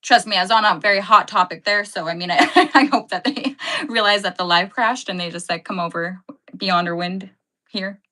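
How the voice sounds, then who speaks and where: A woman talks through a live stream in a loose, chatty way. She laughs often, rambles and corrects herself mid-thought. Her voice is bright and sharp, sitting at an ordinary pitch for a woman. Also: moderate pace. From Canada. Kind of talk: casual live-stream chat answering viewer comments.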